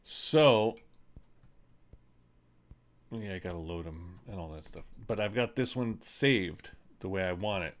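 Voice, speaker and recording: A man's voice: low voice, measured pace, long pauses. A middle-aged man in his home studio, talking loosely through a mixing tutorial with lots of ums and uhs. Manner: loose and rambling, many ums and uhs, self-deprecating. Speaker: musician showing his vocal mixing. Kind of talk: casual screen-recorded tutorial.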